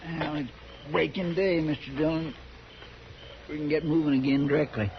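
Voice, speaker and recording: An actor playing a loyal, folksy western deputy with an American Western drawl, in 1950s radio drama style. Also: male voice, on the high side, steady tone, normal speed, close to an everyday voice. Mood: folksy, irritable. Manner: folksy, plain-spoken. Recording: muffled, noisy 1950s radio recording.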